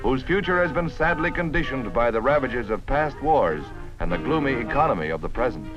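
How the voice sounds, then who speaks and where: A man's voice: medium pitch, animated, brisk, muffled recording, loud music behind the voice. An American man narrating a 1950s newsreel in a polished, dramatic, declamatory style on a vintage soundtrack. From United States.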